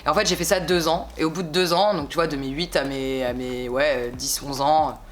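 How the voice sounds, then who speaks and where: A young woman in her twenties from France, a singer chatting casually in an interview, relaxed and slangy, rambling with frequent little asides. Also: deep voice, fairly quick, a little hiss or hum. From France (Bordeaux).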